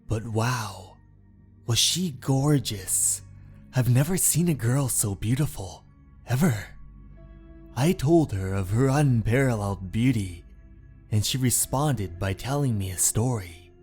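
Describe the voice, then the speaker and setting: A man's voice: mid-range voice, expressive, darkish tone, soft background music. A man playing an obsessed, unhinged painter in a horror story, narrating in a theatrical, brooding manner. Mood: infatuated. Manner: slow, theatrical, brooding, with anguished outbursts. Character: obsessed painter, unhinged, infatuated, confessing a murder. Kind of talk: dramatic first-person horror story narration.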